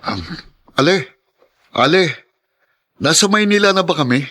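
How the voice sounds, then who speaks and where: A man's voice: on the high side, expressive, a little slow, sharp tone, exaggerated voice. A man playing a modest, cautious young Filipino student and caring grandson in a radio drama, earnest and a little doubtful. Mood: amazed.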